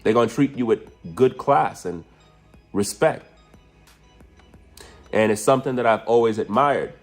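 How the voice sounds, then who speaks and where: A man with a fairly low voice, talking at an easy pace in a vlog clip. His delivery is relaxed and conversational, with faint music in the background. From United States. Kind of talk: casual vlog talk.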